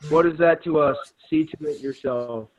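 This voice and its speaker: A man reading a stern high priest in church readers theater, formal and accusatory, on a thin recording. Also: normal speed, close to an everyday voice, poor audio. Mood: cold. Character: stern male high priest interrogating a prisoner.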